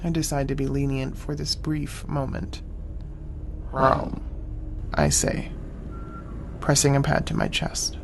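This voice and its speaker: An actor playing a wary, stern great-cat hunter, narrating in the first person in grave, clipped, deliberate phrases for an audio drama. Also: male voice, medium pitch, flat delivery, gravelly, background noise. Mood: grave, brooding. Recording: music under the voice. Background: dramatic music.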